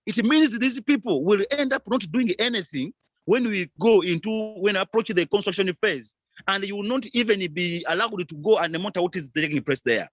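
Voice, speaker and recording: A man speaks as an activist on a panel with a high-pitched voice. His delivery is passionate and earnest, and the sound is dry with no room echo. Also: fairly bright tone. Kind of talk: impassioned advocacy talk.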